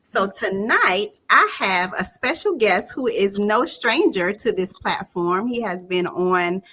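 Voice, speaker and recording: A woman's voice: mid-range voice, lively intonation, takes it slowly, a bit bright, husky. A woman in her forties hosting a casual live chat as a relationship coach, upbeat and often laughing. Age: 40s.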